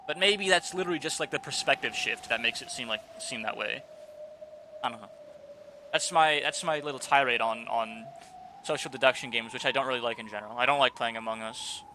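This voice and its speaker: A man's voice: mid-range voice, brisk, sharp tone, slight background noise. A man streaming live, chatting casually and rambling with lots of fillers and restarts.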